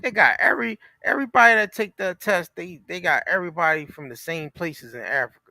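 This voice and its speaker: Bright-voiced American man with a gravelly edge. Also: sits high, fast talker, dry recording. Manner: emphatic, repetitive, slangy and profane. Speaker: talk show co-host. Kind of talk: casual opinionated chat.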